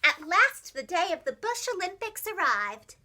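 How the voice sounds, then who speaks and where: Woman, children's story narrator, very high bright voice, lively swooping intonation, expressive, dramatic. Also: a little slow. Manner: animated, dramatic, gentle enunciation for young children. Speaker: preschool teacher reading stories aloud.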